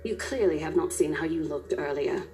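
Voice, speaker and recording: A woman's voice: low voice, normal speed, mellow, soft background music, close to an everyday voice. A woman voicing a caring, firm young estate agent in a visual novel game, earnest and insistent as she coaxes a coworker.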